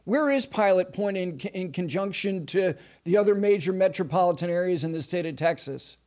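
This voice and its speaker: A man hosting an interview show, introducing his guest with emphasis and asking questions with halting restarts. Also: sits high, darkish tone. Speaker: podcast host.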